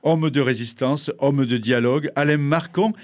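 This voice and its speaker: A male radio host speaks at an ordinary mid pitch. He reads a polished introduction in a measured, rhetorical style. Then he moves on to his interview questions.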